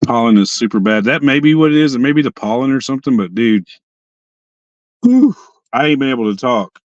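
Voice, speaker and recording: A hoarse young man hosting a casual livestream chat from his parked car, rambling and often restarting his sentences. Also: medium pitch, big pitch swings, fairly quick, dry recording, dull audio. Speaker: livestream host.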